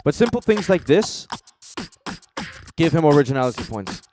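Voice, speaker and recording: A man's voice: on the high side, big pitch swings, normal speed, music in the background. A man from Denmark chatting casually as a co-host of a reaction show, with a blunt, joking, sweary manner. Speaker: co-host reviewing beatbox competition entries.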